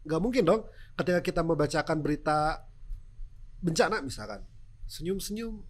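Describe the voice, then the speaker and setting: Man, fairly high voice, Sundanese accent, native Sundanese speaker, casual explanatory talk, illustrative storytelling. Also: animated, moderate pace. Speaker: television news presenter, podcast guest.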